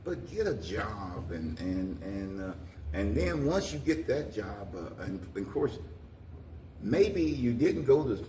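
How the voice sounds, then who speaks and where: Low-voiced older American man, animated delivery. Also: slow pace. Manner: folksy, repetitive, exhorting. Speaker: guest, community organization president. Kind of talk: interview talk, plain-spoken encouragement. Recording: roomy, distant mic, faint noise.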